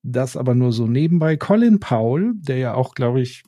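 A man's voice: medium pitch, expressive, moderate pace, dark tone. A man with a German accent, talking casually as a podcast co-host.

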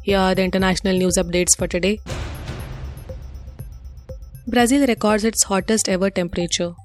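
A woman's voice: medium pitch, fairly even intonation, normal speed. A woman with an Indian accent presenting a scripted news bulletin. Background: music.

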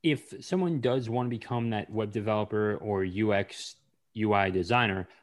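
A man hosting a podcast interview, speaking in an unhurried casual way with frequent ums and restarts. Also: fairly low pitch. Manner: slow, hesitant with many ums. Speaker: podcast host.

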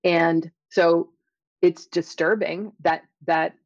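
A middle-aged woman speaking as an interview guest, explaining and telling her experiences in a thoughtful, halting way with drawn-out emphasis. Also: lowish voice, steady tone, no echo, lo-fi sound. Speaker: interview guest, scientist and author. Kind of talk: conversational interview, personal storytelling and explanation.

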